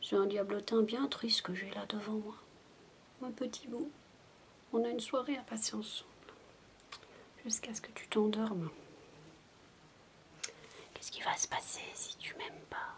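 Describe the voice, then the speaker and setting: A woman in a soft-spoken, whispered ASMR roleplay, coaxing like a babysitter talking to a sulking child. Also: fairly high pitch, steady tone, measured pace, pauses often, slight background noise.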